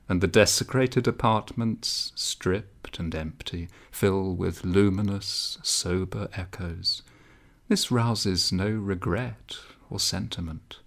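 Slow, gravelly male reader. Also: fairly low pitch. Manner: measured, deliberate phrasing. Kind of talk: literary prose reading.

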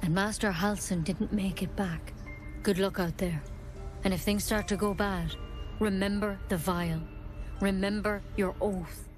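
A woman playing an earnest, knowledgeable druid in a video game, speaking in a frank, measured, explanatory way. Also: medium pitch, fairly even intonation, faint music behind, close to an everyday voice. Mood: grateful, solemn. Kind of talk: video game dialogue acting.

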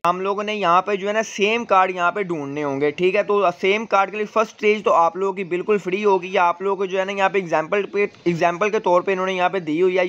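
A man's voice: high voice, a bit bright. A man presenting a casual gaming walkthrough, explaining step by step in a repetitive way with frequent verbal tags. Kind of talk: casual game event walkthrough. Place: voice-over on screen-captured gameplay. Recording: dull, lo-fi voice recording.

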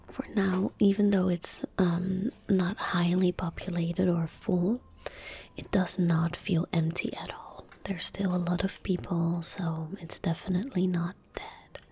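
A young woman giving soft-spoken ASMR gaming commentary, hushed, gentle and whispery, close to the microphone. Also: on the low side, a little hiss or hum. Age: young adult. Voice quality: breathy, whispery. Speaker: ASMR streamer playing a game. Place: indoor gaming room at home. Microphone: close desk microphone.

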